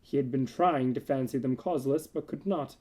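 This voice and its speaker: Man playing a dark-voiced, theatrical madman. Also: mid-range voice, lightly acted. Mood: hushed, brooding. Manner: theatrical, deliberate, swinging between emphatic outbursts and hushed tones. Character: gleeful, nervous madman recounting his murderous stalking of an old man. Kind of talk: dramatic reading of Gothic horror story.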